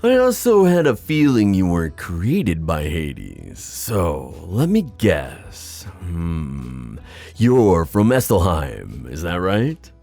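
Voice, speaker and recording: A deep-voiced, gravelly god of the sea, acted by a man for a fantasy ASMR roleplay. He is playful and scheming, toying with his listener in a theatrical, teasing way. His unhurried lines swing dramatically up and down. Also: wildly swooping pitch, dark tone, faint music behind, full character voice. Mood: suave, probing. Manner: theatrical, teasing, drawn-out musing with chuckles.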